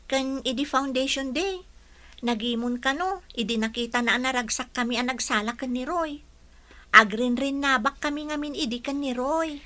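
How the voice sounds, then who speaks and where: A woman's voice: high voice, normal speed, bright, forceful tone. A woman playing an indignant young schoolgirl with an emphatic delivery in a radio drama. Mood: complaining.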